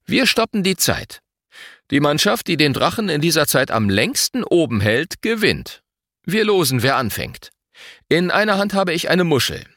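A man reads a father refereeing a children's game in a storybook. He announces and calls out with very animated intonation and a raised pitch. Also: normal speed, no echo, clean studio sound. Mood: explanatory. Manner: clear announcing, calling out.

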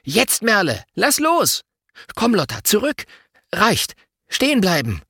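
Man voicing an eager, high-voiced boy. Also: huge pitch swings, takes it slowly, bright, forceful tone, breathy edge, dry recording, clean studio sound, exaggerated voice. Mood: commanding. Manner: urgent calling. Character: eager young boy giving quick commands.

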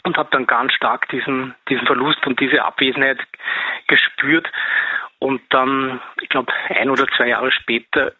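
A man, a caller speaking earnestly and haltingly, often repeating himself. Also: medium pitch, level tone, husky, dry recording. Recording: phone line.

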